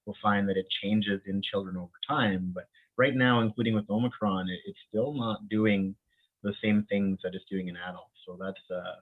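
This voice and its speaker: A man with a low voice talks as an infectious disease doctor in an interview, often stopping to restart his sentences and laughing lightly now and then. He grew up in the Bahamas. The sound is thin and narrow, as if coming through a phone line. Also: fairly even intonation. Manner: halting, many restarts and repeated words; laughs often while talking. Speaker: infectious disease physician and microbiologist. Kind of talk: interview guest, conversational.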